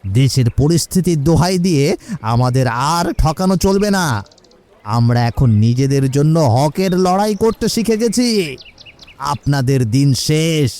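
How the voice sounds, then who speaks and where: A man's voice: on the high side, expressive, moderate pace, warm tone, smooth, lightly acted. A man playing an earnest, emotional elderly village uncle in a cartoon, speaking in an emphatic, moralising way. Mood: defiant. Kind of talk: cartoon acting.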